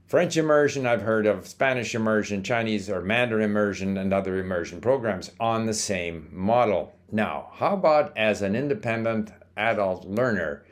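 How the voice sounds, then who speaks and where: An older man, born in Sweden and raised in Canada, hosts a language-learning video and explains things calmly, with small hesitations and restarts. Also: on the low side. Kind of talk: casual talking-to-camera monologue.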